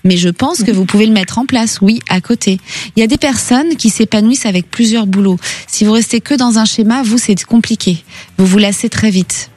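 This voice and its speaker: A woman's voice: on the high side, a little fast, darkish tone. A woman hosting a radio phone-in, giving callers intuitive advice in a conversational, reassuring and encouraging way. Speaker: radio phone-in host giving psychic readings.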